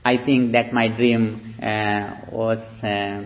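A man, a Buddhist monk from Sri Lanka with a Sri Lankan accent, giving a slow, halting dharma talk with pauses for an interpreter. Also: on the low side, flat delivery. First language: Sinhala. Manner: slow, halting speech with many fillers. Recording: dull lo-fi recording.

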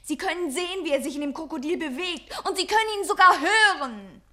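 A woman's voice: very high-pitched, lively intonation, fairly quick, bright, forceful tone, heavily acted. A young woman playing an insistent, indignant and earnest daughter in a radio play. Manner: earnest, defiant.

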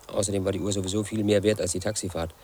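An actor in his thirties playing an obsessive, aggrieved man who tells his story in a radio play monologue, in a flat, insistent, quietly resentful way. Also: low-pitched, rapid-fire, a little hiss or hum, close to an everyday voice. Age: 30s. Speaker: narrator of his own story.

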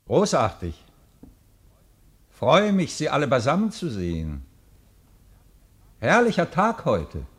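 A man's voice: mid-range voice, wildly swooping pitch, slow pace, pauses often, a bit bright, exaggerated voice. An actor playing a mysterious male anarchist president in a radio play, breezy and mockingly cheerful. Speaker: anarchist leader Sonntag.

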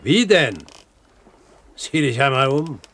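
An actor playing a self-assured, courteous pirate captain in a German radio play, speaking formally and with measured articulation. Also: male voice, mid-range voice, expressive, a bit bright. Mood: calm, authoritative. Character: self-assured male pirate captain, commanding yet courteous great-uncle. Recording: 1970s radio recording, faint hiss.

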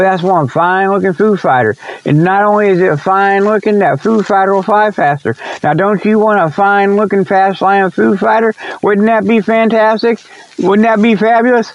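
Folksy rambling man, higher-pitched voice, lo-fi sound. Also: gravelly. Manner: folksy, repetitive, meandering, often chuckles to himself. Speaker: host of a radio-style talk show. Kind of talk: rambling folksy monologue, tongue-in-cheek sales pitches.

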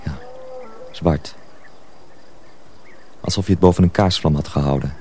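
Radio drama journeyman: deep, mellow, grave and hushed, protective of younger lads, noisy ambience behind. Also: unhurried. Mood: grave, confiding. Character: Tonda, protective, secretive older mill journeyman.

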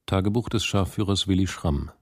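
A low male voice, recorded dry, serves as announcer in a radio drama. The delivery is terse, factual and impersonal. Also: fairly quick, no room sound.

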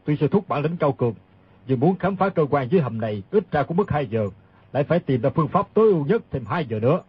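A man's voice: a little high, animated, fast talker, slightly rough, no room sound, highs cut off. An actor playing a taciturn, calculating craftsman with a matter-of-fact delivery in a radio drama. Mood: calm, calculating. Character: taciturn axe-bearing craftsman, calm and calculating. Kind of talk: dramatised audiobook reading.

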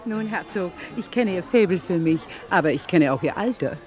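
An actress in a 1950s German radio play playing an affected, exclamatory high-society lady who flirts and teases. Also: expressive, normal speed, a little mellow, close to an everyday voice. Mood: playfully gushing. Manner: affected, exclamatory, playfully teasing. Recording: 1950s radio recording with music.

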